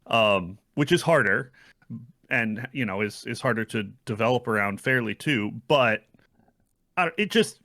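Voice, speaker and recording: A man co-hosting a gaming podcast, talking casually and analytically in a measured, reflective manner. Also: medium pitch, expressive, sharp tone. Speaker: podcast co-host. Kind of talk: casual analytical game discussion.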